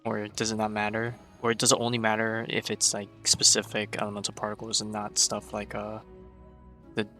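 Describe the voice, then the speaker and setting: A man streaming a video game, thinking aloud in a casual, rambling way. His voice is fairly low but bright and forward, with faint music underneath. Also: completely flat delivery, fairly quick. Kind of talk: casual live gameplay commentary.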